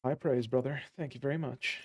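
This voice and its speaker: A man streaming a game, casually answering viewer questions in a relaxed, friendly way. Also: mid-range voice, fairly quick, husky, dry recording. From Belgium. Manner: relaxed and friendly, with easy fillers.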